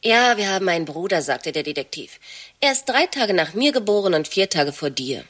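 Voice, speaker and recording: An actress playing a dry, matter-of-fact half-sister in German radio play dialogue, with deadpan delivery. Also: female voice, on the low side, fairly quick.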